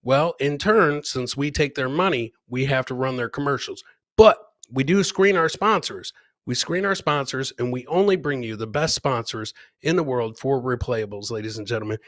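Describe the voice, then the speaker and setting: A man's voice: mid-range voice, moderate pace, a bit bright, no room sound, studio quality. A middle-aged man hosting a live comedy show, casually commenting on clips and chatting with viewers, joking and repeating his phrases. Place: indoor home studio, webcam livestream.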